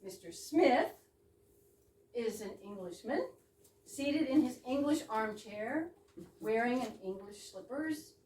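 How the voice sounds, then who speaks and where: A woman introduces a play and reads stage directions at an unhurried pace. Her voice is high and varied, picked up at a distance in a roomy space. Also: animated, darkish tone. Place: indoor performance space with audience. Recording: echoey room from far off, faint noise.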